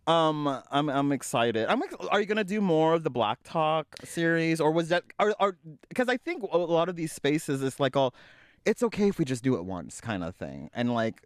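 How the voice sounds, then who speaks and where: A podcast host in casual chat, animated and chatty, laughing often. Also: male voice, fairly high pitch, moderate pace, clean tone, no room sound, studio quality.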